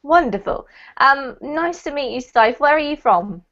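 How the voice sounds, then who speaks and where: A young woman with a high, bright-toned voice runs an online English class, upbeat and encouraging. She says "um" often. The sound is dull and lo-fi, as over a video call. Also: animated, measured pace. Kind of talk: friendly online class teaching. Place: indoor room, video call. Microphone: headset headphones on a video call.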